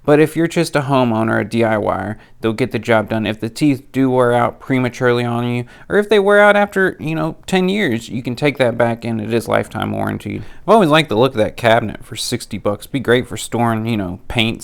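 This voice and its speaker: A man talks through his nose, and a cold makes him sound stuffier still. His voice is gravelly but smooth, and he talks chatty and straight about which tool deals are worth it. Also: medium pitch, a little fast, hardly any pauses. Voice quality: nasal. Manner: chatty, practical, plain-spoken. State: has a cold. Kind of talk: casual product rundown.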